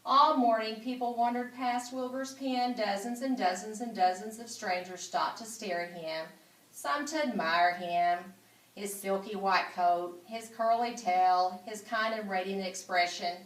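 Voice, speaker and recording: A woman's voice: mid-range voice, a little slow. Woman reading a storybook aloud, echoey room. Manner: informal, chatty asides. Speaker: school principal reading aloud to children. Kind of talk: storybook read-aloud with asides to listeners. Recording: roomy echo, distant microphone.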